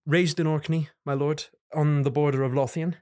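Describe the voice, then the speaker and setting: A man's voice: a little high, steady tone, normal speed, darkish tone, close to an everyday voice. An actor in an audio drama playing a guarded, earnest young man who speaks politely and hesitantly to his superior. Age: young adult.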